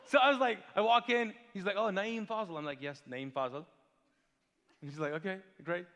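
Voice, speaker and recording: A male pastor raised in Kuwait whose first language is Urdu, preaching with lively storytelling and rhetorical questions. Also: sits high, a little fast, big-room sound. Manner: animated storytelling, big swings in loudness, rhetorical questions. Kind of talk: sermon. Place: large church hall.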